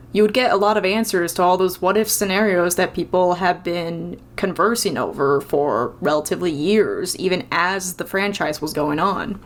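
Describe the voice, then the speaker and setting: A woman who has just woken up, giving a casual, conversational and opinionated rant as a video game commentator. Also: fairly low pitch. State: just woke up.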